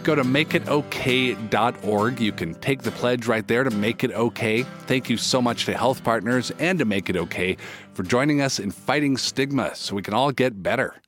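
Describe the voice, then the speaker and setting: A man reading his podcast narration and sponsor message with measured sincerity. Guitar music plays clearly under his voice. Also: medium pitch, a bit bright. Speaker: podcast host. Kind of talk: scripted narration and sponsor read.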